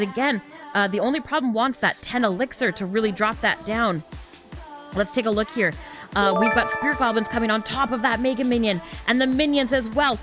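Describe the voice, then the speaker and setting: A woman's voice: on the high side, fairly bright tone. A woman in her thirties doing live game commentary on a stream, chatty, with lots of little fillers. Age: 30s. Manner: chatty, laughs often, frequent "um" fillers. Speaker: esports caster.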